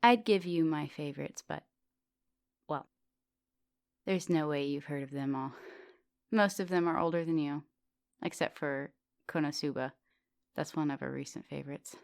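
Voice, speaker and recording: A woman playing an immortal vampire professor in an ASMR roleplay, teasing, flirtatious and gently insistent. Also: sits low, normal speed, gravelly, long pauses, clean tone, no echo, studio quality. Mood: playful teasing. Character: teasing, thousand-year-old female vampire professor, soft dominant, charming. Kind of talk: ASMR roleplay, intimate one-sided conversation.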